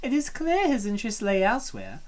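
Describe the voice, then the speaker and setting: A woman's voice: fairly high pitch, wildly swooping pitch, brisk, darkish tone, pitched above the performer's own voice. A woman voicing a sinister, predatory female therapist from a comic dub, speaking in an ominous, formal and clinical way. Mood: coldly analytical. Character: sinister predatory female therapist, coldly analytical, hungry for prey. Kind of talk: dramatic comic-dub villain acting.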